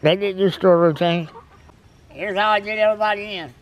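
A man's voice: high-pitched, brisk, poor audio. A man, chatting casually while doing chores at an outdoor chicken coop, easygoing and folksy. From United States. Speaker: homesteader vlogger. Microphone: camera on wheelchair and handheld selfie. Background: faint birds and outdoor ambience.

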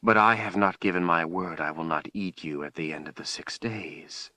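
Fantasy audiobook dragon, played by a man: female, proud, menacing, spitting scorn in a bright, forceful tone. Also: low-pitched, moderate pace, dry recording. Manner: hissing, spitting contempt.

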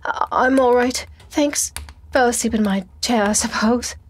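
A woman voicing a nervous, polite gentleman in an audiobook, shaken, hesitant and stammering. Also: a little high, measured pace, slight background noise, pitched above the performer's own voice, lightly acted. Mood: dazed, flustered.

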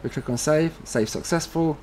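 A man presenting a casual step-by-step tutorial with a slightly husky voice, informally talking through each step as he does it. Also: fairly high pitch, moderate pace, a little mellow, a little hiss or hum, low-quality recording.

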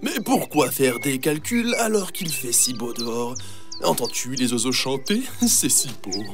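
Big bad cartoon wolf, sly n drawling, swoopy pitch, music behind. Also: male voice, very animated, unhurried. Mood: falsely sweet. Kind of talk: children's cartoon voice acting. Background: background music.

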